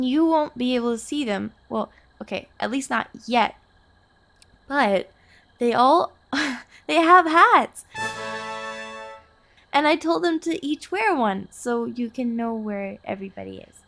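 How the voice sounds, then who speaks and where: A woman's voice: fairly high pitch, gravelly, fairly bright tone, exaggerated voice. A woman playing a nervous, earnest young girlfriend in an intimate audio roleplay, hesitant and pleading in her delivery.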